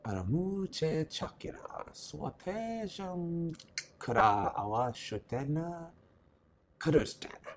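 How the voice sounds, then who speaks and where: A man's voice: a little high, very animated, slow, a little hiss or hum. A man voicing an eccentric, courtly alien desert traveler in an amateur audio play, muttering absent-mindedly and theatrically over music. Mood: speaking alien tongue. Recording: loud music bed behind voice.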